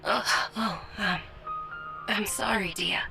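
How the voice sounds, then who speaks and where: A woman playing a refined young noblewoman in a video game, speaking politely and earnestly, with gentle, hesitant sympathy. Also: medium pitch, steady tone, slow, creaky. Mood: concerned, sympathetic. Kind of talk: video game character acting. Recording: loud music under the voice.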